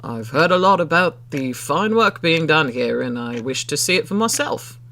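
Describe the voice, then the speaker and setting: A man voicing a curious mid-thirties gentleman customer in a storybook, with a questioning, insistent manner. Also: on the high side, sing-song, normal speed, full character voice. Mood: casual.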